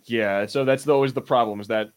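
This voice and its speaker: A man co-hosting a podcast, chatting casually in a halting, rambling way with repeated false starts. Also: mid-range voice, steady tone, smooth. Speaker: podcast host. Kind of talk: casual pop-culture banter.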